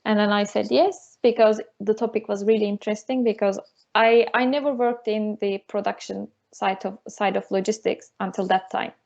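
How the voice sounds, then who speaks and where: A woman's voice: medium pitch, fairly even intonation, normal speed, creaky, a little mellow, muffled recording. A woman in her thirties from Turkey, speaking English with a Turkish accent as a lecturer being interviewed, with many fillers and restarts. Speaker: university lecturer, guest. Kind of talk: casual interview over a call.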